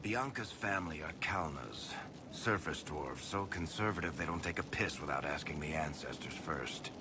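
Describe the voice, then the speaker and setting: An actor playing a wry, guilt-ridden dwarf storyteller in a video game, blunt and sardonic but hesitant when pressed. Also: male voice, a bass voice, normal speed, noisy background. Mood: wistful, sarcastic.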